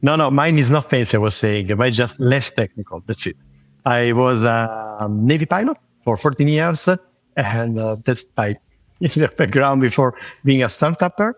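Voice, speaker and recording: A man's voice: mid-range voice, lively intonation, normal speed, no room sound. A man with an Italian accent, a startup co-founder guest, speaking modestly in short, plain sentences. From Italy. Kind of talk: casual interview talk.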